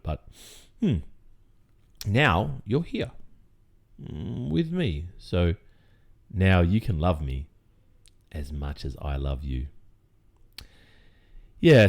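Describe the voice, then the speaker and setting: Creepy, sweetly possessive Australian boyfriend played by a man for ASMR audio drama: unhurried, hesitant delivery with very changeable melody. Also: deep voice, darkish tone. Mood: besotted, then defensive, sweet. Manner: halting, drawn-out phrasing with hesitant murmurs and nervous chuckles. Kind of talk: ASMR roleplay, intimate monologue addressed to listener.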